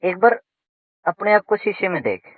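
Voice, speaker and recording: A man's voice: sits high, expressive, moderate pace, no echo. A man chatting casually and intimately on a recorded phone call, colloquial and teasing, often repeating his phrases.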